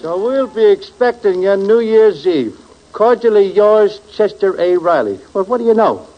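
Casting: slangy wartime sergeant, high animated voice, blunt, muffled vintage radio sound. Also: male voice, moderate pace. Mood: brash, amused. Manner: blunt, streetwise. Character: brash, slangy army sergeant. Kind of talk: 1940s radio comedy acting. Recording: muffled old radio recording with hiss.

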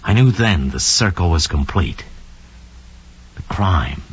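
An actor playing a smug, scheming young murderer who confesses coldly and boastfully in an old radio drama monologue. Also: male voice, sits low, lively intonation, slow pace, breathy edge, full character voice. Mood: smug, calculating. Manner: sly, cold, boastful, slangy. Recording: dull lo-fi old radio recording.

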